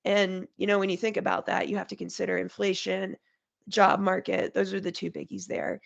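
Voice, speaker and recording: Woman, market strategist guest, nasal from a cold, heavy vocal fry, clear and conversational. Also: mid-range voice, level tone, fairly quick, muffled recording. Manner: explains in clear plain terms, lists points. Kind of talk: conversational podcast interview.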